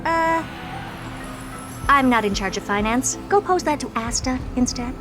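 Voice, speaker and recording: A proud genius scientist from a video game, high-pitched and bluntly dismissive. Music plays behind her. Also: lively intonation, a little slow. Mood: haughty. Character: haughty self-declared genius scientist woman.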